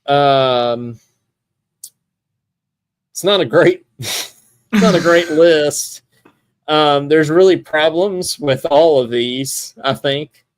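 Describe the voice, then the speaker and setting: A man's voice: on the high side, slow, pauses often, no room sound. A man hosting a podcast, chatting casually about sports and thinking aloud in a rambling way. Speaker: podcast host.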